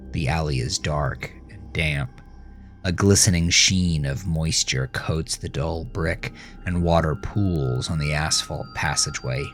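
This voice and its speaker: A man narrating a horror story with a grave, deliberate, suspenseful delivery. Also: very deep voice, fairly bright tone. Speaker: author and narrator of horror podcast. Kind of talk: dramatic second-person horror story narration.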